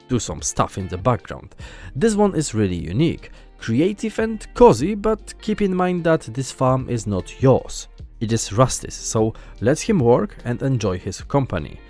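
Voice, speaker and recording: Young man, Polish accent, mid pitch, animated intonation, faint music bed. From Poland. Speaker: gaming channel host. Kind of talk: scripted video narration.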